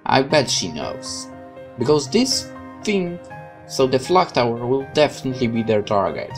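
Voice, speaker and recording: Really low voiced woman reading a story game out loud, chatty comments, faint music behind. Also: sing-song, moderate pace. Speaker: game player narrating a playthrough. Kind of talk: reading story text aloud with casual commentary.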